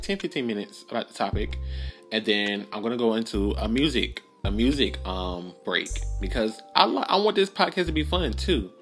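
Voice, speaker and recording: A young man hosting his own podcast alone, talking earnestly and rambling with many restarts and fillers. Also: on the low side. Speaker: podcast host. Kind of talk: solo podcast monologue.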